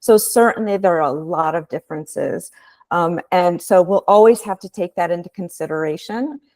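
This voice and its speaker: A woman researcher giving a measured, explanatory webinar presentation over a video call. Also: fairly low pitch, a little mellow, no echo.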